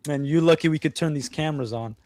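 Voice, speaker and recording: A man's voice: a little high, fast talker, a little mellow, dry recording, clean studio sound. A man for whom English is not his first language, co-hosting a livestream over a video call and explaining things at length in a casual, talkative way. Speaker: co-host.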